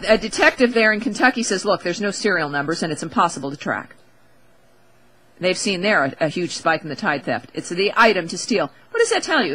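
A woman's voice: mid-range voice, a bit bright. A woman hosting a talk show, giving a casual, opinionated monologue in a wry, emphatic, conversational way into a close studio microphone.

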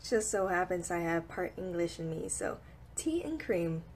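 A woman's voice: on the low side, lively intonation, normal speed, darkish tone. A woman in her twenties chatting offhandedly while vlogging a road trip from a car, her phone held at arm's length. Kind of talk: casual travel vlog. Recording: clear road and car noise.

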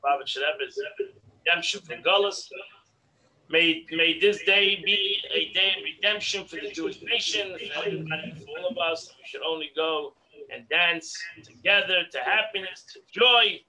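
A middle-aged man teaching a daily religious class over a video call from his home study, animated and repeating words for emphasis. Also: fairly high pitch, normal speed, low-quality recording. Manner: animated, repeats words when stressing a point. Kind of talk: online Torah class. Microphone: video call webcam.

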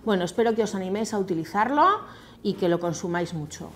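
A woman's voice: mid-range voice, fast, a little mellow. A middle-aged woman with a Peninsular Spanish accent, presenting an informal cooking tutorial as a chef and often checking in with viewers conversationally. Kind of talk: informal explanatory cooking talk to camera. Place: indoor kitchen set.

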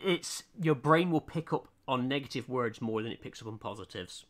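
A man from the United Kingdom chatting earnestly and hesitantly on a live stream while drawing, with many pauses and restarts. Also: medium pitch, a bit bright.

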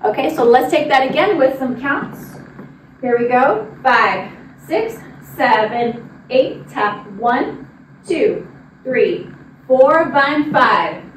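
Casting: young female dance instructor, high voice, counts steps aloud, recorded at a distance in a studio. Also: animated, takes it slowly. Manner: directive, demonstrating while moving. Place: large indoor dance studio with wooden floor. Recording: roomy, distant mic with noise.

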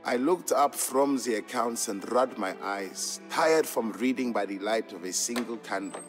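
An actor playing an Ethiopian trader and father, narrating old legends in a measured, fond storybook style for a game. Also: mid-range voice, lightly acted. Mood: gentle, fatherly. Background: background music.

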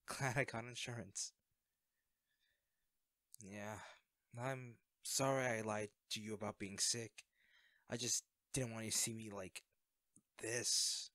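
A man's voice: on the low side, steady tone, slow pace, pauses often, sharp tone, no echo. Hesitant man acting a secret superhero, very dry recording. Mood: sheepish, weary. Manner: hesitant, stammering, evasive. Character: secret superhero hiding injuries, awkward, self-effacing, affectionate best friend. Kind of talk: audio roleplay drama, one-sided conversation.

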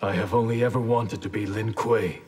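An actor playing a stern, honorable ninja grandmaster in a video game, speaking in a terse, resolute, formal way. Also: male voice, on the low side, fairly even intonation, normal speed, a little mellow, husky. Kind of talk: video game character acting.

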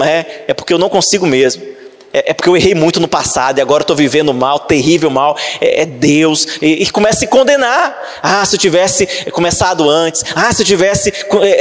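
A man in his thirties preaches from a church stage, his voice sitting high for a man. He builds to fervent shouts and drags out scripture verses word by word. The hall adds a long, ringing reverb. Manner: preaching with rising, shouted emphasis and slow drawn-out scripture reading. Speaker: pastor. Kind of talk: sermon.

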